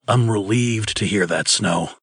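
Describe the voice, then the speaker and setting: A man's voice: on the low side, a little slow, breathy edge, dry recording, studio quality. An actor playing a frank, world-weary man in a comic dub. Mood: resigned.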